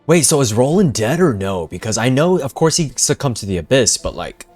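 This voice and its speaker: A man's voice: medium pitch, big pitch swings, fairly quick, darkish tone, faint music behind. A man doing casual live reaction commentary as a gaming streamer, informal and slangy.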